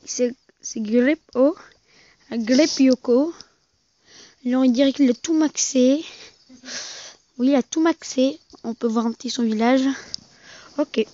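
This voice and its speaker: A gaming video host giving casual, halting commentary on a mobile game, often repeating and correcting phrases, on a muffled amateur recording. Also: high-pitched, warm tone.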